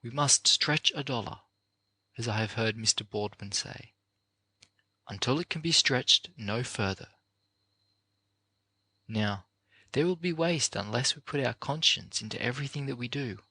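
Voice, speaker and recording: A man narrating an audiobook of a motivational address, reading in a deliberate, earnest, oratorical way with measured phrases. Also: mid-range voice, lively intonation, bright, forceful tone, slightly rough, no echo.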